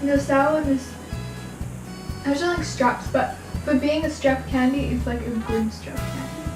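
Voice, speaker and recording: Teen female vlog guest, Australian accent, high voice, casual chat, echoey room. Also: unhurried. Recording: echoey room with music underneath.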